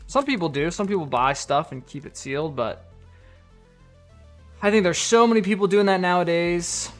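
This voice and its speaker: A man's voice: sits high, lively intonation, moderate pace, a bit bright, soft background music. A man chatting casually on a live stream, reading viewer donations and comments aloud. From United States. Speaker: card collector streamer.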